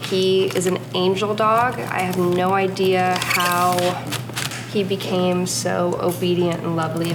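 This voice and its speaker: A young woman giving a casual, chatty craft tutorial, instructive with playful asides. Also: on the low side. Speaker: host, mother of a toddler. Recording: roomy echo, loud music behind. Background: music.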